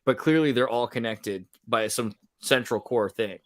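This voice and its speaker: A man hosting a podcast, talking casually in an animated, rambling way that often breaks off mid-sentence. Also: mid-range voice, moderate pace, no room sound. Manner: animated, rambling, self-interrupting. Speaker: podcast host. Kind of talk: casual conversational podcast chat.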